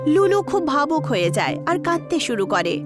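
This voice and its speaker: A woman's voice: high-pitched, big pitch swings, moderate pace, music in the background. A woman narrator reading a scripted children's story.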